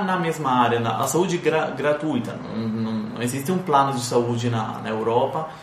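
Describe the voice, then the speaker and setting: A man's voice: medium pitch, echoey room, a little hiss or hum. A Sicilian man speaking with an Italian accent in a casual interview chat, hesitant, with many fillers and restarts. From Sicily, Italy. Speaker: guest, citizenship consultant.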